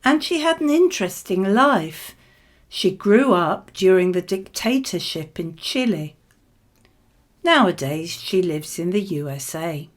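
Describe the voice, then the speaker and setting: A woman reading scripted model answers for a spoken exam, with measured, clearly phrased delivery. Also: fairly low pitch, expressive.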